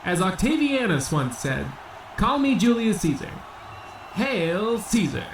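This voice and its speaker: A man's voice: high-pitched, sing-song, unhurried, noisy background, heavily acted. A man playing a grandiose boxing ring announcer obsessed with ancient Rome, in an old-time radio comedy style. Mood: grand, ceremonial. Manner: grandiose, theatrical showman delivery.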